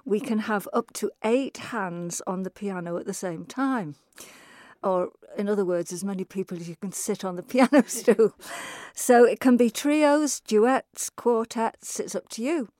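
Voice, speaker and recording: A woman with a Manx accent, a festival chairperson, briskly and clearly explaining new classes with touches of humour. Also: mid-range voice, darkish tone, no room sound, studio quality. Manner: brisk, informative, lightly humorous. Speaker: chairperson of a music, speech and drama festival. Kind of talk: interview answers.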